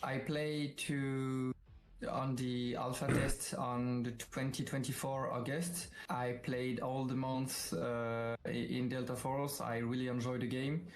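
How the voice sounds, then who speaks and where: French man answering haltingly, mid-pitched voice. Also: little pitch movement, slight background noise. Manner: halting, non-native English phrasing. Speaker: esports player, team captain. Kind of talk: interview answers.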